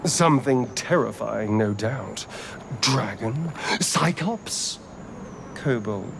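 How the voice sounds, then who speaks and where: A man's voice: mid-range voice, animated, slow, gravelly, background noise. A man playing an aristocratic, sardonic vampire rogue in a video game, mocking and arch. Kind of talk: video game character acting.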